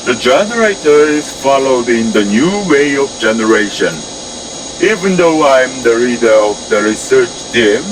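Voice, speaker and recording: An actor playing an enthusiastic research team leader in an amateur audio drama, speaking halting, stilted English. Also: mid-range voice, noisy background. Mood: chatty. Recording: music under the voice.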